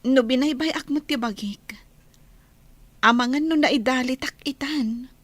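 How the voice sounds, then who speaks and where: Lady acting as earnest young village gal, radio drama, respectful, plaintive, really high voice. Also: a little slow, fairly bright tone, no room sound.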